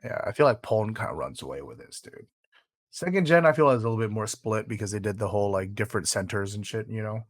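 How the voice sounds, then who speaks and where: A man's voice: fairly low pitch, lively intonation, fast. A man co-hosting a podcast in casual chat, rambling with lots of fillers and dropping "dude" often; his first language was Vietnamese. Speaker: podcast co-host.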